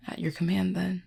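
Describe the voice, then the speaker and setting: A woman playing an injured, proud and guarded cupid in an intimate audio roleplay, speaking formally and wryly in a husky, pained voice with weary sighs. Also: on the low side, dark tone, full character voice. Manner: formal, wry wording with weary sighs and hesitant pauses. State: in pain, strained.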